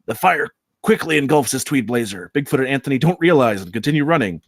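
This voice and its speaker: Fast-talking man reading screenplay action. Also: mid-range voice, expressive, dry recording, studio quality. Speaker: script reader, narrator.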